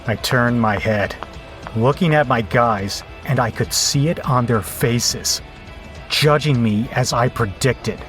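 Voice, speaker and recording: An actor playing a cynical biker who narrates an audio drama in a wry, bitter, self-mocking way. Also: male voice, medium pitch, slow pace, a bit bright, faint music behind. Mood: humiliated, wry. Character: cynical, bitter biker man telling his story.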